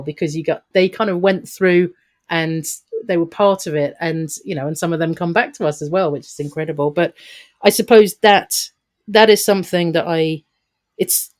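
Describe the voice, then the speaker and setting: Deep-voiced woman from england, chatty interview guest, keeps laughing and restarting. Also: normal speed, a little mellow. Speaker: company founder, guest. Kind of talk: casual interview chat.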